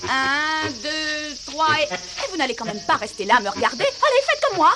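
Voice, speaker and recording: A woman playing a fussy, excitable grown-up looking after children in a dubbed cartoon, theatrical and exclamatory. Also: sits high, normal speed. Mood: bossy, brisk. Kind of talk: animated cartoon dub acting. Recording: noisy old cartoon soundtrack.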